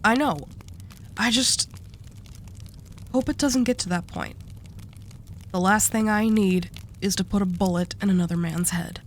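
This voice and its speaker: A woman's voice: mid-range voice, measured pace, long pauses, slight background noise, lightly acted. A woman playing a commanding yet haunted, sardonic female mafia boss in an audio roleplay. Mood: guarded, uneasy.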